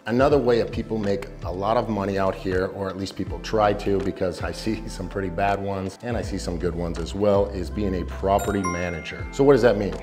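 A man's voice: deep voice, fairly even intonation, fairly quick, hardly any pauses. An American man, around his thirties, hosting a casual explainer video in an informal, emphatic, slangy way. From United States. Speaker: YouTube host giving expat advice. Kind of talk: casual explainer talk to camera. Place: small indoor home studio. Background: background music.

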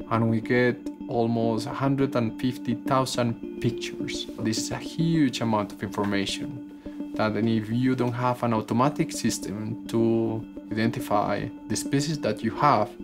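Male scientist, earnest, mid-range voice, interview over a music bed. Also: medium pitch. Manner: earnest, explanatory, with hesitant restarts. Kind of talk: documentary interview.

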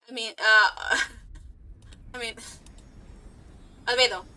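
A woman streamer giving casual live reactions, excitable, scattered and exclamatory, with some swearing. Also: fairly high pitch, takes it slowly. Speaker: streamer reacting to game trailers. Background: background music.

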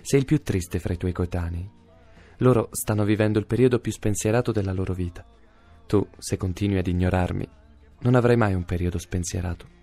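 A man's voice: lowish voice, dark tone, lightly acted. A man in a radio drama voicing a young man's own courage, reading reproachful, intimate letters addressed to him.

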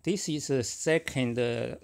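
Gravelly-voiced middle-aged man, halting speech. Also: mid-range voice. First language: Chinese. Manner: halting, many fillers and restarts. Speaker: forex trader. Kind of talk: casual screen-recorded trading talk.

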